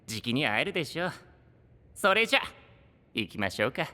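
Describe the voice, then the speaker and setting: Casting: polite, playful trickster host for anime audio drama, high teasing voice, animated, reverberant. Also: male voice, a little fast, heavily acted. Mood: smug. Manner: courteous, sing-song, teasing. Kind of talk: Japanese anime-style voice drama acting. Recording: long hall-like reverb on voice.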